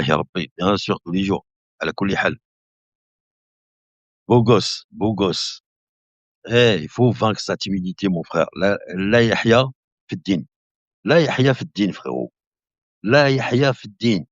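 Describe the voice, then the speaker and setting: Man, 50s, live-stream host, casual rambling chat, very low voice, muffled lo-fi dry sound. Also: dry recording. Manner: chatty, addresses viewers as brother; laughing often, relaxed rambling.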